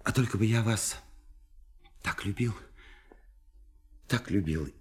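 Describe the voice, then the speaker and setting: An actor in a Russian radio play, voicing a shy, lovelorn young man who speaks haltingly and fervently, pleading and self-effacing. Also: on the low side, slightly rough. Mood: sad, faltering.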